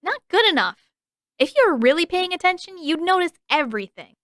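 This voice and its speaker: A woman voicing a brash, impatient and scornful young tomboy girl in a story reading, with a loud, taunting delivery. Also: very high-pitched, sing-song, brisk, no room sound, clean studio sound, pitched above the performer's own voice, exaggerated voice. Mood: demanding. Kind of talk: character voice in an audiobook-style fan fiction reading.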